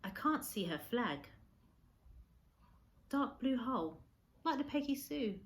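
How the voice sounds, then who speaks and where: A woman voicing an eager, excitable young castaway boy in a storybook, speaking earnestly. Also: on the high side, takes it slowly, pitched above the performer's own voice, heavily acted. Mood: hopeful.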